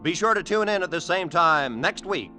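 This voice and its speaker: American male announcer, 1940s radio style, bright forceful voice, animated, soft music behind. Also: sits high, moderate pace.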